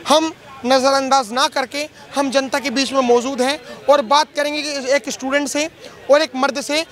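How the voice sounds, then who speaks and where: A man conducts street interviews in a strikingly high, forceful voice. He speaks emphatically, repeating phrases as he frames questions about social issues for people he stops. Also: fairly even intonation, normal speed.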